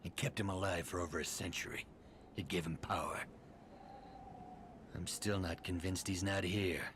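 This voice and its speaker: An actor playing the brooding, bitter son of a sinister tycoon in a video game, telling his story ominously with hushed phrase endings. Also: deep voice, steady tone, normal speed, lightly acted. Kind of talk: video game cutscene monologue. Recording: faint background ambient noise. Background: faint ambience.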